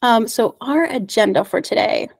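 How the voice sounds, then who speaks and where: A woman's voice: fairly high pitch, normal speed, vocal fry, dry recording. Upbeat woman presenting on a video call. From United States. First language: Spanish. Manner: friendly, upbeat, with frequent fillers and little laughs. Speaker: meetup organizer and presenter. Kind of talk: online meetup presentation.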